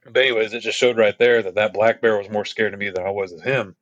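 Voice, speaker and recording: Deep guy telling a long trail story, lots of um and like, kinda muffled dry sound. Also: steady tone, quick pace, a bit bright, dry recording. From Texas. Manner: rambling, lots of fillers and asides. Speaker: podcast guest telling trail stories. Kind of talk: casual storytelling.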